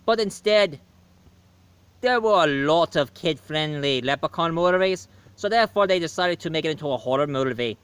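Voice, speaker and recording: Cheeky leprechaun reviewer voiced by a man, hammy Irish accent, fairly high, smooth voice, rambling with fillers. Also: expressive, moderate pace, fairly bright tone, no room sound. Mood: jolly. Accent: put-on Irish. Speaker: movie reviewer.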